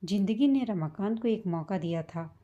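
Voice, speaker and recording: A woman reading a scripted, sentimental family story as a storyteller, in a measured manner. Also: medium pitch, animated, mellow, muffled recording. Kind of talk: scripted emotional story narration in Hindi.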